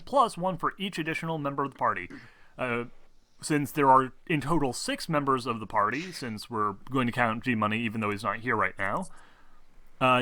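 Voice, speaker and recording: A man's voice: mid-range voice, pushed and bright, dry recording. A man acting as game master, explaining rules casually and teasing his players with sarcasm.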